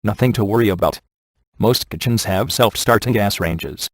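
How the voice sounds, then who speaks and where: A man's voice: lowish voice, normal speed, husky, no echo. A man playing a wisecracking, laid-back ghost hunter in a video game, with a dry, sardonic and glib delivery. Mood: wry, glib. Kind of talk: scripted video game character dialogue.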